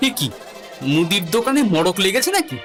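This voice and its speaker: Woman as a lively, wry cartoon jester. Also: mid-range voice, a little fast. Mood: baffled. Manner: wry, theatrical, self-important. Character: witty, boastful male court jester, henpecked husband. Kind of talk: comic cartoon acting. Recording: music behind the voice.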